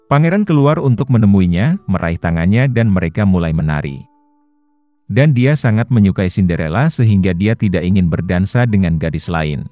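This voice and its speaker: A man's voice: deep voice, warm tone. A man reading a scripted fairy tale as a storyteller for children, with music behind him. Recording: roomy echo under faint music. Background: faint background music.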